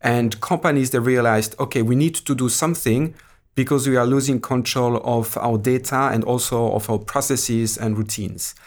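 A man's voice: medium pitch, fairly even intonation, normal speed. A middle-aged Swiss man with a French accent, a native French speaker, talking as an academic guest in a podcast interview, hesitant, with many fillers and restarts. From Switzerland.

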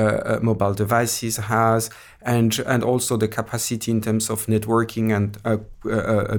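A man's voice: lowish voice, a little mellow. A middle-aged Swiss man with a French accent, a native French speaker, talking as an academic guest in a podcast interview, hesitant, with many fillers and restarts. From Switzerland.

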